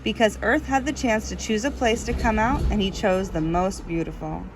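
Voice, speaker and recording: A woman reading the part of a wise, consoling farmer father in a children's storybook, explaining things gently. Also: mid-range voice, moderate pace, noisy background, lightly acted. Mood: consoling, tender. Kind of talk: read-aloud children's storybook dialogue.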